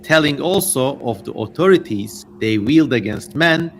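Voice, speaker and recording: Male livestream host, mid-pitched voice, informal and digressive, theatrical reader, noisy amateur mic. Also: lively intonation, a little slow, a bit bright. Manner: digressive, informal asides amid theatrical reading. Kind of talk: casual livestream chat with dramatic reading and running commentary.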